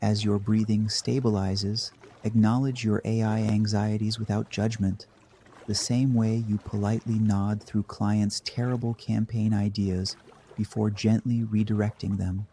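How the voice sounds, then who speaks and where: A man narrating a scripted guided meditation in a measured, dry deadpan manner. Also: fairly low pitch, slight background noise.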